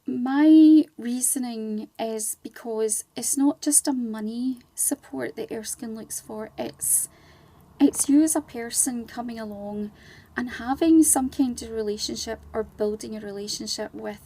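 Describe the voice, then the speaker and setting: A woman's voice: a little high, a little slow, dark tone. A woman speaking as a charity ambassador and guest in a conversational radio interview, chatty and explanatory with wandering asides.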